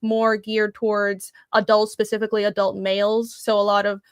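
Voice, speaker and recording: A woman's voice: medium pitch, flat delivery, moderate pace, clean tone, no room sound, studio quality. A young woman from Taiwan talking about her comics in a casual interview, earnest and thoughtful with many fillers. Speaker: comic creator guest.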